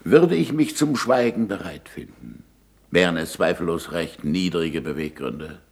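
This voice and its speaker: A man's voice: sits low, expressive, unhurried, creaky, heavily acted. A man acting an impassioned, sarcastic middle-aged scientist in a German radio play, swinging between declamation and muttering. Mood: bitterly sarcastic.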